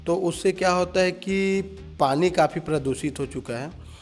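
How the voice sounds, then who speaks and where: Man, slightly high pitch, hesitant interview answers, faint music behind. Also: normal speed. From village in Chhattisgarh, India. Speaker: swimmer and coach being interviewed.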